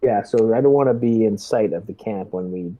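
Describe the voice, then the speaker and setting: A man playing a tabletop roleplaying game, talking through tactics casually in a measured, halting way. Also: fairly low pitch, dark tone. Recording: dull lo-fi voice-chat audio.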